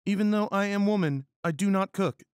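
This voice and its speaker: A man acts out a serious woman first officer in a comic space story, pitched well up. She is dutiful and stiffly formal, with lively swings in a dry recording. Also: fairly quick, no echo, close to an everyday voice. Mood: firm.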